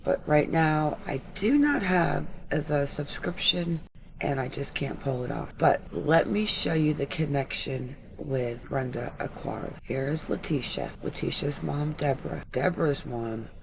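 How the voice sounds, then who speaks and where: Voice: medium pitch, expressive, a little slow, creaky, noisy background. A true crime channel host giving casual, opinionated commentary with lots of filler words. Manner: chatty, filler-heavy, opinionated.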